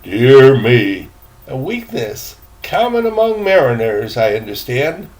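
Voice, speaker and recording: An older man voicing a courteous, learned elderly gentleman in a storybook, speaking formally and precisely with slight pompousness. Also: medium pitch, very animated, takes it slowly, poor audio.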